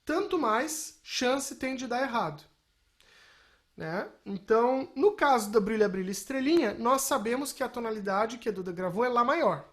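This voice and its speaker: A woman speaks in a somewhat high-pitched voice. She teaches in an informal, explanatory way, like a music technology instructor walking through software. She often adds short tag questions to check that listeners follow. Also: lively intonation, moderate pace. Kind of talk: informal spoken software lesson.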